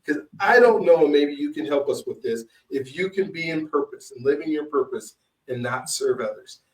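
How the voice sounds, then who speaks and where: Male podcast host, fairly high voice, halting and repetitive in casual introductions, slightly off-mic. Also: expressive, recorded from a distance, poor audio. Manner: halting, repetitive, drawn-out phrasing.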